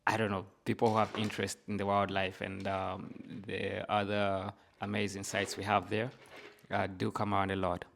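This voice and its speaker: A man's voice: lowish voice, monotone, normal speed, creaky, a bit bright, a little hiss or hum. A man from Zambia with a Zambian accent, telling his own story conversationally as a podcast guest, with casual filler phrases like "you know".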